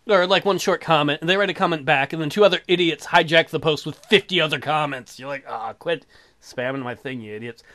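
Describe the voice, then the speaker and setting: A man's voice: a little high, fairly bright tone. A man giving casual, crude and sarcastic game commentary as he plays. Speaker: let's player.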